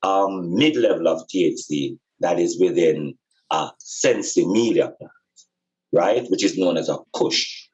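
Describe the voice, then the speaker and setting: Very deep, slow-talking man teaching. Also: darkish tone, lo-fi sound. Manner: deliberate, emphatic, repeats phrases for stress. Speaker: podcast speaker and spiritual teacher. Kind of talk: informal explanatory talk on spirituality and healing.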